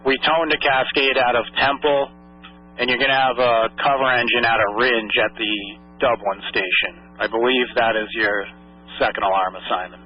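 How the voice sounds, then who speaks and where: A man's voice: medium pitch, steady tone, normal speed, breathy edge, faint music behind. A man working as a fire dispatcher, making procedural radio announcements in clipped phrases and repeating messages back. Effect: two-way radio. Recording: muffled lo-fi dispatch radio audio.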